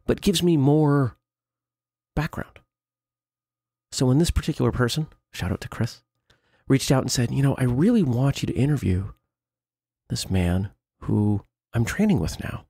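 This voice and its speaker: Gravelly-voiced male podcast host. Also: medium pitch, a little mellow, dry recording, clean studio sound. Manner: upbeat, conversational, talks in bursts and slows on key words. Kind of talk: casual interview with ad reads.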